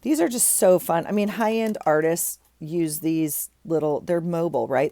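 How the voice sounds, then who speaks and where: A woman's voice: low-pitched, gravelly, dark tone, a little hiss or hum. A middle-aged woman with a stuffy nose, chatting casually as she works on a craft project, thinking aloud with many ums and restarts. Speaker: craft vlogger.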